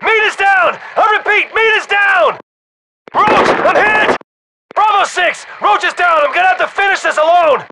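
A man playing a tense, tough special forces squadmate in a game, with clipped, forceful callouts. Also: high-pitched, expressive, moderate pace, pauses often, slight background noise, heavily acted, rough recording. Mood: panicked, urgent. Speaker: soldier in squad. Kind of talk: military game combat callouts.

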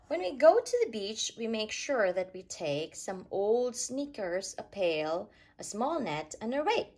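A woman reading a rhyming children's picture book aloud in an expressive, sing-song storytelling way. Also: medium pitch, measured pace. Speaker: storytime reader.

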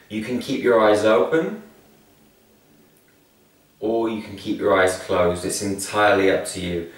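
Slow, low-voiced man guiding meditation in echo. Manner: calm, soothing, measured delivery with long pauses. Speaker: meditation guide. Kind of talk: spoken guided meditation. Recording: very echoey room, distant from mic.

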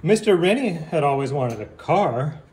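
A man reading a picture book aloud like a teacher, deliberately, with casual asides and questions for young listeners. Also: fairly high pitch, big pitch swings, a little mellow, a little hiss or hum.

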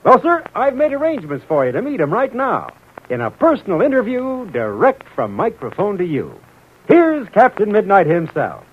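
A chummy 1940s radio announcer with showman flair for young listeners. The delivery swings widely in pitch, raspy on a thin, tinny old recording. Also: wildly swooping pitch, moderate pace, heavily acted. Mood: chummy, eager. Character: eager, folksy 1940s kids' radio announcer.

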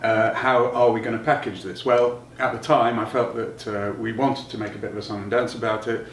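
A man's voice: fairly low pitch, little pitch movement. Man explaining, roomy distant sound. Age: middle-aged. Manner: halting, thinking aloud with many pauses. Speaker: loudspeaker designer being interviewed. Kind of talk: technical explanation in conversation. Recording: roomy, distant mic, noticeable background noise.

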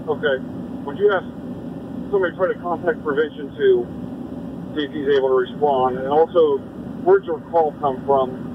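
A man's voice: fairly high pitch, very animated, normal speed, long pauses. A man working as a fire incident commander, giving terse radio orders on scene. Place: outdoor night fire scene, recorded from a vehicle dashcam. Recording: muffled recording with loud vehicle engine noise.

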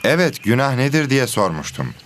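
A man's voice: medium pitch, very animated, normal speed, over music, natural voice. A man voicing a kindly, patient teacher in an educational cartoon, questioning and encouraging the pupils. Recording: clearly noisy background.

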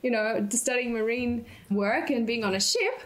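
A woman's voice: on the high side, moderate pace. A woman hosting a conversational radio interview on site, attentive to her guest.

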